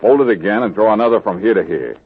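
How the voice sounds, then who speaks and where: An actor playing a confident, quick-witted troubleshooter in an old-time radio drama, with a brisk, wry manner. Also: male voice, on the low side, expressive, breathy edge. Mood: focused. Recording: dull old radio recording.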